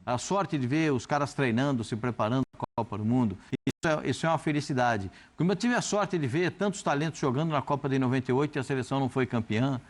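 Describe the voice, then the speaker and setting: A man's voice: medium pitch, quick pace, few pauses. A man from Brazil commenting on a TV football debate show, talking animatedly with frequent restarts. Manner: hesitant, many restarts, animated. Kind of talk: TV sports debate.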